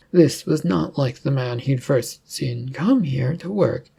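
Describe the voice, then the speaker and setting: A man's voice: mid-range voice, creaky, dark tone, breathy edge. A man narrating a fictional story as a storyteller, reading in an informal way.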